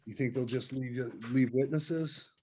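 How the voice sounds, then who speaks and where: A man's voice: medium pitch, unhurried, darkish tone, slight background noise, lightly acted. A man playing a blunt, fatalistic, cynical character in a horror script reading.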